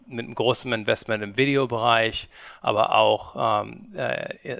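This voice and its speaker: A man in his forties from Germany speaks as an interview guest, his voice gravelly with fry. He talks haltingly, filling gaps with hesitations and restarting sentences. The sound is dry and close, with no room echo. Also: medium pitch, bright, forceful tone. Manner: hesitant, many fillers and restarts. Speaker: guest, media company co-founder. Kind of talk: conversational interview.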